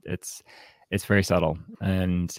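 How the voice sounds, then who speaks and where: A man co-hosting a podcast and casually discussing a book, haltingly, as if thinking aloud. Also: low-pitched, little pitch movement, a little mellow, no echo. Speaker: podcast co-host.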